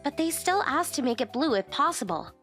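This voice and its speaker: A woman voicing a poised, direct young company president in an anime-style manga dub, composed and expressive. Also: high-pitched, fairly quick, soft background music. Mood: curt. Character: poised young female company president, curt at work, softer privately.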